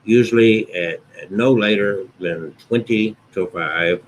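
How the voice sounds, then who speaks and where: Deep-voiced man explaining calmly. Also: a little hiss or hum. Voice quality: booming voice. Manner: unhurried, conversational, repeats and restates points. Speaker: wedding officiant. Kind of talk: instructional talk to camera.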